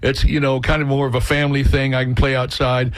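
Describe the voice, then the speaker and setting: A man's voice: mid-range voice, level tone, quick pace, no echo. A man hosting a radio show, rambling in a folksy, casual way in a studio. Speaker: radio show host and musician. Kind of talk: casual on-air radio chat.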